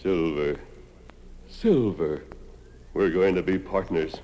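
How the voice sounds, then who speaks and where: An actor playing a heroic masked Western lawman, steady and gentle with horses, in old-time radio style. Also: deep voice, slow pace. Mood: calm, earnest. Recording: noisy old radio recording.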